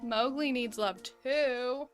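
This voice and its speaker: Female game streamer, casual and chatty, unhurried, thinking aloud, faint music underneath. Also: fairly high pitch. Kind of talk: casual live gaming commentary.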